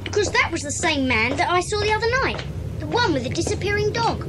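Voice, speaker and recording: Very high, excitable British schoolboy. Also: female voice, fast talker, background noise. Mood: certain. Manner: eager, emphatic. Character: observant, excitable young schoolboy. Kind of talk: dramatised children's audiobook acting.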